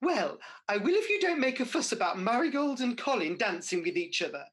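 A kindly British pantomime dame, acted by a woman for a radio show. Her voice is high and husky, leaping up and down with theatrical expression as she chats. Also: very animated, brisk. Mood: flattered, coy.

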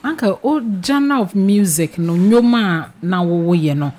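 A woman's voice: on the low side, lively intonation, unhurried, a little mellow. A young woman with a Ghanaian accent, interviewing conversationally as a radio host in a studio. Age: young adult. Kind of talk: conversational interviewing in mixed languages. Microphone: boom-arm studio microphone, close. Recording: faint background noise.

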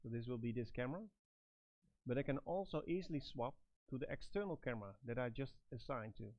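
A middle-aged man giving step-by-step product instructions in a halting, phrase-by-phrase manner. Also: medium pitch, no echo, muffled recording. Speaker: product trainer and presenter.

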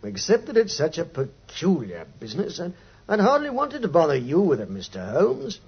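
Sheepish English detective, chatty, highish voice, 1950s radio drama, dull recording. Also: male voice, huge pitch swings, normal speed, husky, full character voice. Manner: colloquial, hesitant, chuckling storyteller.

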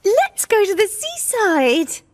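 A prim, fussy cartoon woman, bossy and chirpy. Her voice sits very high and swoops widely, paced slowly and deliberately over a music score. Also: wildly swooping pitch, full character voice. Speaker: girlfriend character in cartoon. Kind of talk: cartoon voice acting.

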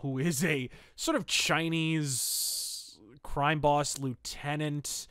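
A man's voice: medium pitch, a bit bright, no room sound, clean studio sound. A man hosting a review podcast, talking casually and enthusiastically with emphatic, colloquial storytelling. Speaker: host of a pulp fiction review podcast.

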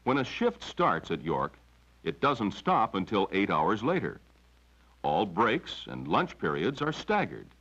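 A middle-aged man reading a measured, promotional script as narrator of a company film, on a dull 1970s film soundtrack. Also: on the low side, huge pitch swings, fairly bright tone, slightly rough.

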